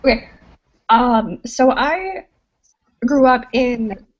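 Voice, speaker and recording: A woman's voice: a little high, animated, extremely slow. A woman writer from the rural South, speaking hesitantly on an online panel and often trailing off. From rural Southern United States. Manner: hesitant, trailing off, soft asides. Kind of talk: casual panel discussion. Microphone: video call. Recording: dull lo-fi online call audio.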